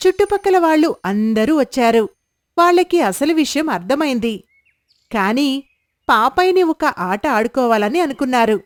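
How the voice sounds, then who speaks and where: A woman's voice: sits high, lively intonation, moderate pace. A woman narrating a moral folk tale in a scripted storybook style.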